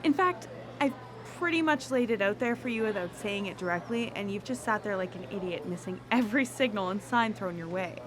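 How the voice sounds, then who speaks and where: A woman playing a brash, flirtatious, sharp-tongued date in a live radio drama, blunt and dramatic. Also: mid-range voice, lively intonation, a little fast, noisy background, close to an everyday voice. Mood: frustrated.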